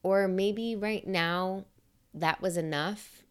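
A woman podcast host with a scratchy, slightly raspy and nasal voice, talking in a gentle, encouraging and reflective way, often checking in with "right?". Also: medium pitch, takes it slowly. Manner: gentle, encouraging, thinks aloud with frequent "right?". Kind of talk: reflective motivational talk.

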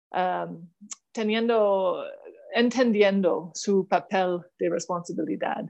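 A middle-aged Canadian woman, a diplomat, answering interview questions in learned Spanish with a Canadian English accent, thoughtful and full of small hesitations and restarts. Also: medium pitch. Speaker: diplomat guest.

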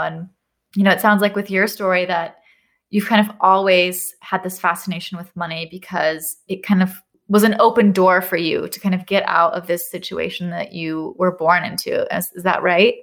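A woman's voice: lowish voice, fairly even intonation, normal speed, fairly bright tone. A woman hosting a podcast interview, introducing her guest and responding with affirming, enthusiastic remarks. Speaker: podcast host. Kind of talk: conversational interview.

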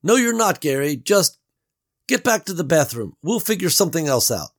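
A man's voice: fairly high pitch, sing-song, moderate pace, pitched above the performer's own voice. A man voicing Beverly, a woman locked in a closet who stays patient with a hapless coworker while barely holding in her frustration. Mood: exasperated. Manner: patient but exasperated.

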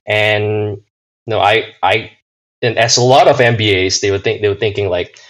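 A man's voice: on the low side, normal speed, a bit bright. A man from Singapore, a podcast guest, answering casually with many fillers and restarts. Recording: dull lo-fi sound.

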